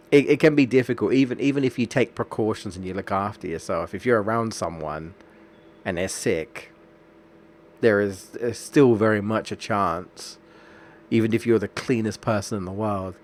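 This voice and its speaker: A man's voice: on the low side, a little mellow. A man with a stuffy nose from a cold, chatting casually and rambling as he streams a game. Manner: rambling, chatty, restarts sentences often.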